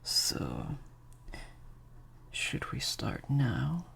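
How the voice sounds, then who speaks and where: Man voicing a slow, creaky, whispering vampire master. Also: medium pitch, huge pitch swings, full character voice. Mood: stern, condescending. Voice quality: breathy, creaky half-whisper. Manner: slow, deliberate, hushed delivery with drawn-out pauses. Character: slightly sadistic male vampire master, controlling, coldly menacing captor. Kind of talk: intimate improvised audio roleplay addressed to the listener.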